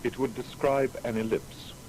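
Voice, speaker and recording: An actor playing a learned, proud scientist with formal archaic diction in a period radio drama. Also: male voice, mid-range voice, little pitch movement, normal speed, darkish tone, a little hiss or hum, close to an everyday voice, rough recording. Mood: absent-minded, assured. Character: learned, proud male scientist, distracted yet indignant.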